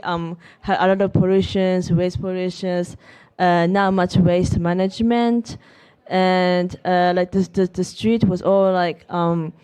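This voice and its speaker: Hesitant woman speaker with a mellow voice. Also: mid-range voice, smooth. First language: Japanese. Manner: hesitant, many fillers and restarts. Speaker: keynote speaker, youth representative. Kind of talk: unscripted conference speech. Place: conference venue.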